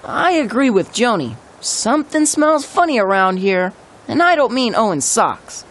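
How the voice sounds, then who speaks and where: Lively, skeptical young detective. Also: female voice, fairly high pitch, unhurried. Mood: suspicious. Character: skeptical, sharp-eyed young detective in kids' gang. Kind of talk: cartoon game voice acting.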